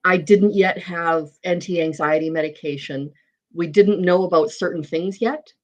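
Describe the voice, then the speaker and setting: Low-voiced woman in her forties, halting. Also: dull audio. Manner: open, halting, many pauses and fillers. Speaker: meeting participant. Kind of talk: casual chat.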